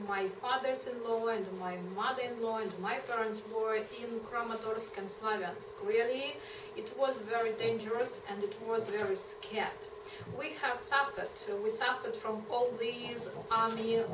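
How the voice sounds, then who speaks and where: A woman from eastern Ukraine with a Ukrainian accent, telling her story haltingly in English with many fillers and restarts. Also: fairly high pitch. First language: Ukrainian or Russian. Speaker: interview guest. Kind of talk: personal testimony in a second language. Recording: echoey room, mic far off, noisy.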